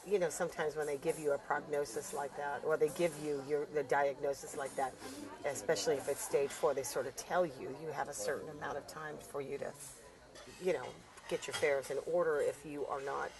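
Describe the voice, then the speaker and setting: A woman's voice: sits low, steady tone, a little fast, low-quality recording. A woman hosting a talk show, asking probing questions and finishing her guest's sentences. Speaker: host.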